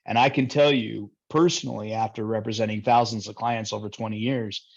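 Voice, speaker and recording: A man's voice: on the low side, fairly even intonation, fairly quick, creaky. A middle-aged man, a lawyer guest on a podcast, talking in a candid, joking, chatty way. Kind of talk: casual interview talk. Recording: dull lo-fi sound.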